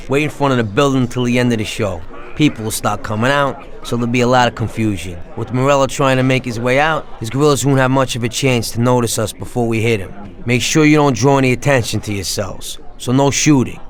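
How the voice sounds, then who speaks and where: A man's voice: mid-range voice, a little fast, natural voice. An actor playing a confident, tough mafia hitman in a video game, with a matter-of-fact streetwise manner. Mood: businesslike. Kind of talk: dramatic video game dialogue.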